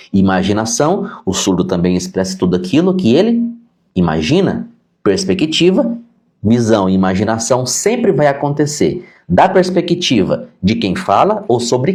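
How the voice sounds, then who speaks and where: Animated middle-aged Brazilian man teaching. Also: low voice. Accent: Brazilian Portuguese. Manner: didactic, repeats key points for emphasis; conversational, acts out questions to himself. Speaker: sign language teacher. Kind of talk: informal live-stream lesson answering viewer questions.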